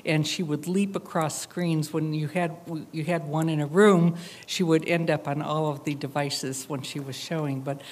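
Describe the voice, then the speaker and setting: A woman artist presenting her project in a public talk, speaking reflectively with frequent hesitant pauses. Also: low voice, steady tone, a little mellow.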